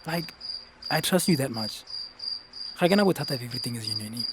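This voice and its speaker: An actor with a Botswana accent playing a reasonable, placating young fiancé in a radio drama, soothingly mixing English and Setswana. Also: male voice, mid-range voice, expressive, fast, very noisy, close to an everyday voice. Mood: earnest explaining. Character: reasonable, placating young fiancé defending his money decision.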